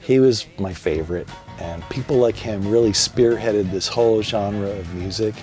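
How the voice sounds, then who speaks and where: A man's voice: fairly low pitch, normal speed, darkish tone. A man presenting a scripted promotional narration with a storytelling structure. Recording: music bed under voice.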